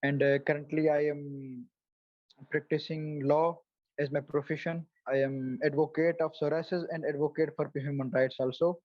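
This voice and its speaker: A man in his twenties from Pakistan, speaking as a guest on a video call, halting, with many fillers and restarts. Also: a little high, level tone, no room sound, lo-fi sound. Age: 20s. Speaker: guest, psoriasis advocate. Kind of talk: casual interview answers.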